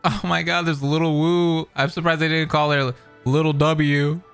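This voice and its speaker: A man's voice: a little high, flat delivery, normal speed, gravelly, fairly bright tone, faint music behind. A man giving casual, joking reaction commentary over a story game. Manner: joking, sarcastic asides. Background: game audio.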